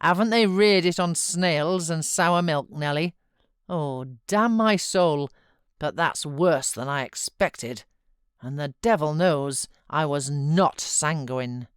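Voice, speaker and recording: A woman's voice: sits low, slow pace, clear, resonant tone, no room sound, studio quality. A woman voicing a grim, sneering father in an audiobook, with mocking, domineering exclamations. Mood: scornful mockery. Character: grim, sneering, scornful man, harsh father. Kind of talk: audiobook character voice.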